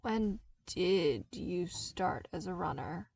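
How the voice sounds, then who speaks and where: A woman voicing an incredulous, hot-tempered young military man in an audiobook, with emphatic, drawn-out words. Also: on the low side, expressive, creaky, darkish tone, slightly rough, no room sound, recorded from a distance, exaggerated voice. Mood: faint, hesitant. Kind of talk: audiobook character dialogue.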